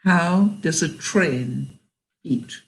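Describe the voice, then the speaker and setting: An older woman with a Canadian accent and a hoarse, fading voice reads jokes aloud in a casual vlog and laughs often at them. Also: low voice, extremely slow, rough recording. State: hoarse, losing her voice. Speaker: vlogger.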